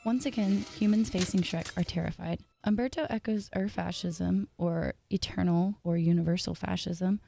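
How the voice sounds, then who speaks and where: A woman reading a scripted analytical video essay in a measured, formal way. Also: fairly low pitch, creaky, warm tone, dry recording.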